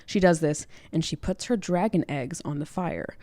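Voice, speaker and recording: Low-pitched woman chatting, dry close sound. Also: normal speed, dark tone, no room sound. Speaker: book club podcast co-host. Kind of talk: casual chatty book recap.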